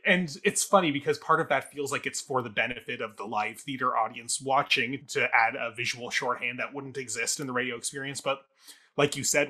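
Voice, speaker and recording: A man's voice: mid-range voice, pushed and bright. A man co-hosting a review podcast, casually analytical and often qualifying his own points. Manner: tentative, self-qualifying, analytical. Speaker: theatre review podcast co-host.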